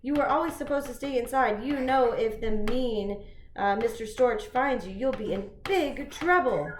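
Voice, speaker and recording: A woman's voice: on the high side, normal speed, a little hiss or hum, natural voice. Mom doing a worried mother figure, pleading and protective, echoey room. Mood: anxious. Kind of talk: home puppet show.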